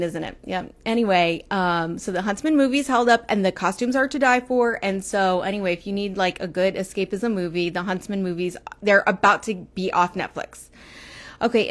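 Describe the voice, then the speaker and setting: Chatty Texan woman livestreaming. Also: medium pitch. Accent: Texas, with Midwestern turns. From Texas, United States. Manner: chatty, rambling, quick asides to viewers. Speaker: livestream host. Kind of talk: casual livestream chat. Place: small room indoors. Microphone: earphone headset mic, phone camera close.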